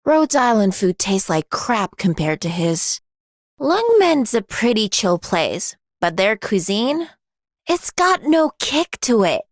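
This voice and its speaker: A woman's voice: medium pitch, very animated, slow pace, no room sound, clean studio sound. A woman playing a cocky, brash, ageless blacksmith warrior in a video game, with a slangy, blunt, swaggering way of talking. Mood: brash, opinionated. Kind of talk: video game character voice lines.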